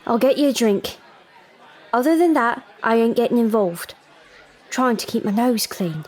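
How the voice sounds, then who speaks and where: An actress playing a weary, sharp-tongued barmaid in an audio drama, wry and guarded, with a working-class English accent. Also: female voice, on the high side, expressive, moderate pace, a faint crowd.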